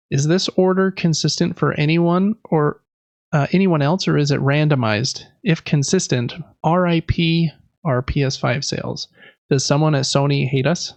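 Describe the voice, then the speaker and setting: A man's voice: fairly high pitch, no echo, dull audio. A man co-hosting a podcast, chatting casually about video games. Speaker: podcast co-host.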